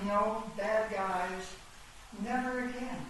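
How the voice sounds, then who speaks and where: An actress playing a commanding, idealistic chess-piece leader, declaiming deliberately in a theatrical radio play. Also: female voice, mid-range voice, lightly acted. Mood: idealistic, solemn. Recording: echoey, distant, noisy stage recording.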